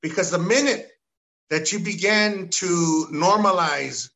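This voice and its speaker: A man holds forth on a podcast. His voice is high for a man and bright, almost sharp. He talks with emphatic energy and slips into Spanish. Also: slow pace, creaky. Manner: animated, emphatic, mixes Spanish into English, lots of "you know". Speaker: podcast host. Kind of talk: casual podcast chat.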